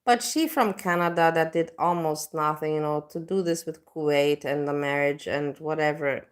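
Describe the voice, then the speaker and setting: A woman from Indonesia chats loosely on a livestream, circling back through fillers as she talks. Her voice sits a touch low for a woman. She hosts in a relaxed, rambling way. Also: normal speed. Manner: rambling, leans on "you know, like". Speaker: livestream host. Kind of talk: casual livestream chat.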